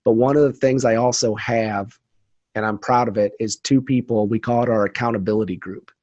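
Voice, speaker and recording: A man's voice: medium pitch, steady tone, brisk, darkish tone, no echo, dull audio. A man speaking earnestly and motivationally as a guest in a conversational interview. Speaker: podcast guest, leadership trainer.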